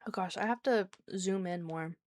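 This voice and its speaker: A woman streamer chatting casually with her viewers, with a soft, soothing voice and a chatty, giggly manner. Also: lowish voice, normal speed. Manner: chatty and giggly, talks to chat by name.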